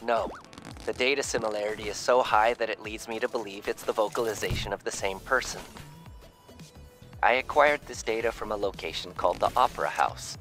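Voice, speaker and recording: Casting: male actor for curious, courteous game robot, lowish voice, formal and exact wording, light music behind. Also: a little fast, fairly bright tone, lightly acted. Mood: polite, earnest. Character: polite, curious robot collector of data. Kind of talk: video game cutscene dialogue.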